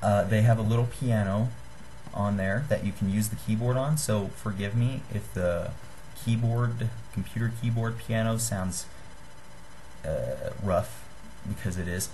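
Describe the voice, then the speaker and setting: A young man giving a casual how-to demonstration to a webcam in a small room, halting and apologetic. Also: lowish voice, mellow, slight background noise. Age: young adult. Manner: halting, apologetic, self-deprecating. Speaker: hobbyist giving a homemade gadget tutorial. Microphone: webcam or computer microphone at desk distance.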